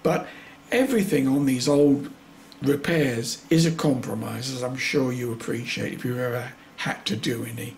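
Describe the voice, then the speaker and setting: A man with a creaky voice gives an informal, matter-of-fact repair demonstration, a little hesitant, with dry asides. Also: medium pitch, moderate pace, a little hiss or hum. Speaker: hobbyist repairer presenting own video.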